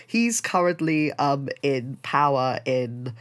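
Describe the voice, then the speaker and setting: A man's voice: medium pitch, lively intonation, slow, clear, resonant tone. Chatty man who laughs a lot. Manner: chatty, laughs often, many fillers. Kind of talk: casual chat.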